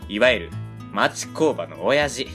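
A woman playing an easygoing 39-year-old male factory owner with a Kansai accent, a low folksy voice, narrating and chatting in an amateur web radio drama. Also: expressive, unhurried, fairly bright tone, soft background music, heavily acted. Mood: reflective. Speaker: narrator and lead character.

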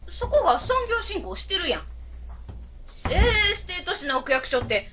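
Lady doing pushy osaka auntie for radio drama, bright sharp voice, super animated, blunt but kindly. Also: very high-pitched, normal speed, a little hiss or hum, full character voice. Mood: inquisitive. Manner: blunt, familiar, exclamatory. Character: brash, nosy, good-natured Osaka auntie at a ward office. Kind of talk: amateur web radio drama acting.

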